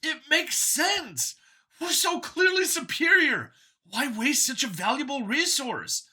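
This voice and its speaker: A smug young male cyborg zealot, acted out by a man, pitched high and piercingly bright. The delivery is grandiose and forceful, with big swings, as in a dramatic sci-fi audiobook. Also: expressive, slow, exaggerated voice. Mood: smug, zealous. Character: fanatical young cybernetic clone, smug, grandiose male machine.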